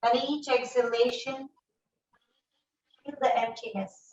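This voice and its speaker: A woman leading a guided breathing meditation in a slow, soft, calm voice with long pauses. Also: a little high, slight background noise. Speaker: yoga teacher. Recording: echoey room, voice from a distance, dull sound.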